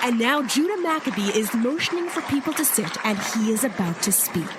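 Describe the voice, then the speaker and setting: A woman playing a composed radio news correspondent with measured delivery in a reenacted broadcast. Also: fairly high pitch, big pitch swings. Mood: measured anticipation. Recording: loud crowd and noise behind.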